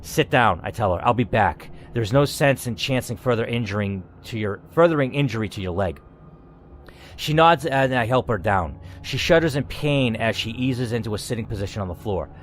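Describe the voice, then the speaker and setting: Man, dramatic story narration, animated swooping pitch, theatrical, gaming host, faint background noise. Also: moderate pace. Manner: theatrical, expressive reading with asides. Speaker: gaming video host reading a text adventure aloud.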